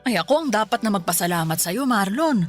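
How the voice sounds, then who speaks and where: A woman's voice: mid-range voice, animated, brisk, faint music behind, close to an everyday voice. An actress playing a devoted middle-aged Filipino mother in a radio drama, tender and reassuring in manner. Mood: tender, proud.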